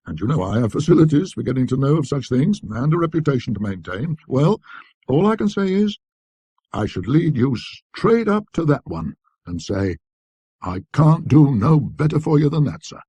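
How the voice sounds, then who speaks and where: A man's voice: medium pitch, sing-song, moderate pace, warm tone, breathy edge, no room sound, pitched above the performer's own voice. A man voicing a shrewd, deferential antique dealer with folksy, ungrammatical sales patter, in a storybook reading. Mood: persuasive, earnest.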